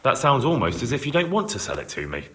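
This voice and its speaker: An actor playing a blunt, persistent young investigator in a medieval video game, with a direct, questioning manner. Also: male voice, mid-range voice, wildly swooping pitch, fast talker, sharp tone, long reverb tail. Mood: pressing, suspicious.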